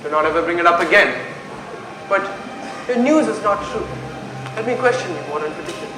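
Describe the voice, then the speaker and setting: A man's voice: high voice, animated, fairly quick, noisy background. A young man playing a brooding, anguished prince in a declamatory Shakespearean stage style. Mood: guarded, uneasy. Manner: declamatory, dramatic. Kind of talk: theatrical Shakespearean stage acting. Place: school stage performance in a hall. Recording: echoey stage recording, loud music.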